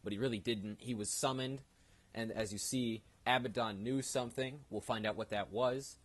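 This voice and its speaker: A man's voice: medium pitch, steady tone, moderate pace. A man hosting a gaming video with casual, explanatory commentary and rambling asides. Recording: loud background noise.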